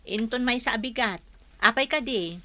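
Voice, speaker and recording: Actress as a bright-voiced, frank village friend. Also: female voice, on the high side, normal speed. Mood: practical. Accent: Ilocano. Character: frank, practical village woman friend working in the city. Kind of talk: Ilocano radio drama acting.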